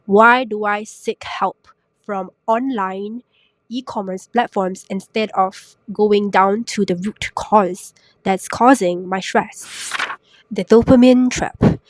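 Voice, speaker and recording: A young woman hosting a solo podcast while sick, her voice raspy and congested, chatty and self-mocking with lots of rhetorical questions. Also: medium pitch, slow, no echo, poor audio. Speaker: podcast host. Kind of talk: casual solo podcast talk. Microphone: new podcast microphone.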